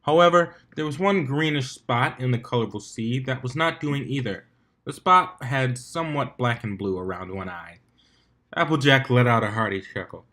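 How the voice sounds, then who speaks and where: A man reading fan fiction aloud as an amateur narrator, measured, with occasional stumbles over the text. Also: mid-range voice, bright, forceful tone.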